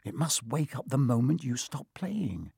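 A man reading the part of a brave, earnest young schoolboy in a storybook, quietly and seriously. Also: mid-range voice, sing-song, a little slow, gravelly, husky, no echo, clean studio sound, heavily acted. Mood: quiet resolve.

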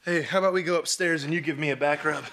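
An actor playing a loud, dim, goofy young husband in a sitcom. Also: male voice, a little high, fast, slight background noise, natural voice. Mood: goofy, casual. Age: young adult. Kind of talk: broadcast sitcom acting with comic timing.

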